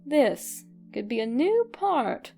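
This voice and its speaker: Higher-voiced woman narrating a story. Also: big pitch swings, slow, creaky, dark tone, soft background music. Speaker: fan fiction podfic reader. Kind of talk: expressive scripted story narration.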